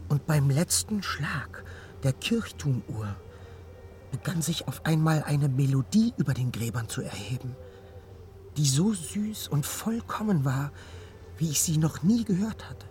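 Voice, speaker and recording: An actor playing a sensitive young nobleman in a German radio drama, recounting an uncanny experience with halting, awestruck delivery. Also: on the high side, very animated, darkish tone. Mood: rapturous.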